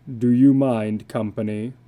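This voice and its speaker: A man's voice: medium pitch, steady tone, warm tone, lightly acted. A man voicing a weary, middle-aged tattooed carnival drifter in a storybook reading, speaking in a heavy, resigned manner. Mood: bitter, weary. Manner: slow, heavy, resigned delivery.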